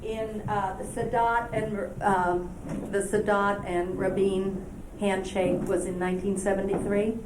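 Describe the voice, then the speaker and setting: A woman's voice: medium pitch, level tone, a little slow. A woman from Palestine in the audience of a university lecture, pressing the speaker with a long, persistent question full of fillers and restarts. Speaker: audience member asking a question. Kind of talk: question from the floor. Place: lecture hall. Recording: distant, roomy, noisy old lecture-hall tape.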